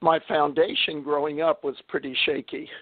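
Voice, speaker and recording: A man, a little hoarse, chatting as a guest on a radio interview, laughing often and using many fillers. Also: a little high, fairly even intonation, moderate pace, dry recording. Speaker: guest author. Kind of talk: casual interview talk. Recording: tinny phone line.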